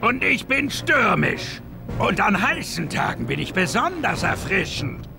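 Gravelly, blustering alien brute. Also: male voice, high voice, very animated, a little slow, exaggerated voice. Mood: blustering taunts. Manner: blustering, stumbles over own words. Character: bumbling, boastful alien brute villain. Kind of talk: animated game cutscene acting. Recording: music behind.

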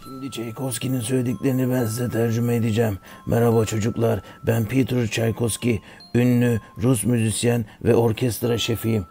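A man voicing a kindly older music teacher in a cartoon, giving a scripted lesson to children in a gravelly voice. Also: medium pitch, flat delivery, moderate pace, mellow, close to an everyday voice. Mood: kindly, instructive.

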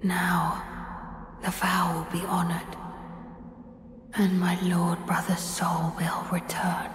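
Breathy whispering divine ruler, flat, slow, echoing hall, music behind. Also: female voice, on the low side. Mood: serene. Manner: soft, measured and solemn. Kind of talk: video game cutscene acting. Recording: roomy reverberant hall with music.

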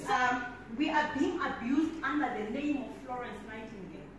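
A woman's voice: sits high, expressive, moderate pace, lo-fi sound, crowd noise. A South African woman in her twenties giving a motivational keynote, with emphatic, preacherly repetition, walking the floor as she speaks. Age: 20s. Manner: walks about the floor, gesturing as she speaks. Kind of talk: keynote speech to a conference room. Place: large conference hall, indoors. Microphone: handheld mic, heard from a distant camera. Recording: echoey hall audio from far off with background noise.

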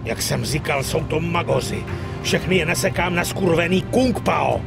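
An actor playing a brash, hot-headed, vengeful gangster in a dubbed game, crude and swaggering. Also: male voice, fairly high pitch, vocal fry, over loud music. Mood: vengeful, angry. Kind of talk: dramatic game dub acting.

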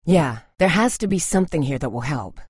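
A tough young woman survivor from a video game drama, protective and blunt. Her voice is husky and swings widely in pitch, recorded close and dry. She speaks in terse, urgent lines with a sardonic edge. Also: lively intonation, a little fast, no room sound. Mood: tense, earnest.

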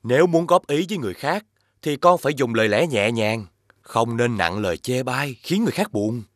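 A man voicing a measured, instructive father in a children's cartoon. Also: medium pitch, lively intonation, no room sound, clean studio sound, lightly acted. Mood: gently admonishing. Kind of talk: children's animated series dubbing.

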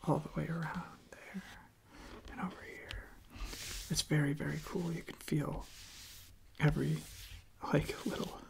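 Whispering, very slow young ASMR host. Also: mid-range voice, steady tone, gravelly, low-quality recording. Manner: slow, gentle, halting delivery with repeated words. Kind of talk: soft-spoken whispered ASMR.

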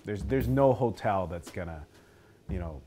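A man from Taiwan, a native Mandarin speaker, talking in a relaxed, reflective way in a documentary interview in a small hotel room. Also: low voice, a little slow. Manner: relaxed, reflective, soft-spoken. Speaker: comedian. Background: music.

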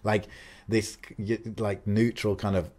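A young man with a somewhat low voice talks through a podcast conversation at length. He rambles casually, full of "like" and "um", restarting his sentences as he goes. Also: little pitch movement, a little slow, darkish tone. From Algerian. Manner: talkative, many fillers and restarts. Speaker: podcast co-host.

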